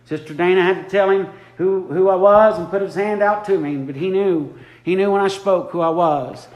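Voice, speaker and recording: Fervent preacher, high-pitched man, drawn-out lines, roomy sanctuary. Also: muffled recording. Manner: fervent, repeats lines for emphasis, drawn-out phrases. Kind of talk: impromptu sermon. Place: church sanctuary. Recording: echoey church room.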